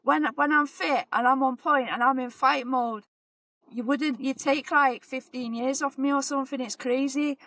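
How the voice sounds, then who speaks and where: Chatty high-voiced woman in her forties. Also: moderate pace, dry recording. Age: 40s. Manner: relaxed, chatty, repeats herself. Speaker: boxer and guest. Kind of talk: casual interview chat.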